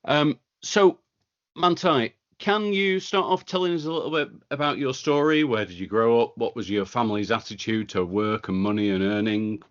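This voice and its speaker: A man hosts a podcast in a casual, friendly way, his voice sitting at a medium pitch. He trips over a word now and then, and the audio has a slightly dull, lo-fi quality. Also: moderate pace. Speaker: podcast host.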